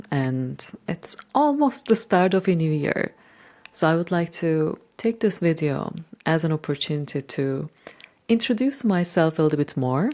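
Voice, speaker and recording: A middle-aged woman from Turkey with a Turkish accent, talking reflectively to her followers in measured, deliberate short phrases. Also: low-pitched, darkish tone. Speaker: channel host and coach.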